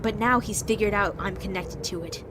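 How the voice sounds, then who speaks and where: A woman's voice: mid-range voice, normal speed, noisy background, natural voice. Woman acting a cold, blunt agent. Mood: calculating. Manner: terse, blunt, scornful. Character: cold, proud female covert agent, ruthless and impatient. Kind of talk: amateur audio drama acting.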